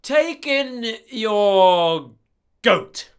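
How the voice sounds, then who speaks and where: A man voicing a wise old man in a storybook, giving calm, drawn-out instructions. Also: sits high, clean tone, voice pushed up, full character voice. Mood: calmly instructing. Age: older.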